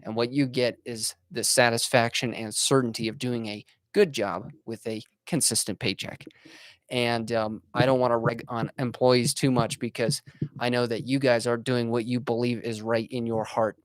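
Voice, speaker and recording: A man's voice: mid-range voice, level tone, moderate pace, no room sound, poor audio. A man in his twenties talking earnestly and casually as a podcast host, motivational in tone, with frequent fillers and restarts. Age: 20s. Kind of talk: casual monologue.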